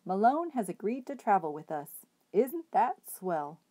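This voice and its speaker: A man's voice: high-pitched, sing-song, full character voice. Woman voicing a courtly, bearded hobo. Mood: genial. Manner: courtly, gently formal, unhurried. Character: enormous bearded genial male hobo.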